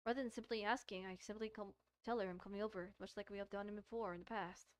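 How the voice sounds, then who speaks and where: A woman streamer reading a visual novel aloud and commenting on it, haltingly, often repeating and rereading phrases. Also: medium pitch, steady tone, dry recording. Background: soft music.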